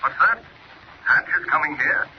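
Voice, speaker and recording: A man's voice: a little high, expressive, moderate pace, lightly acted. Man as slangy inside man, muffled old radio. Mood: surprised. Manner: slangy, conspiratorial. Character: shady, treacherous male inside man for gangsters. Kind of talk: 1940s radio acting. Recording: dull, hissy old radio recording.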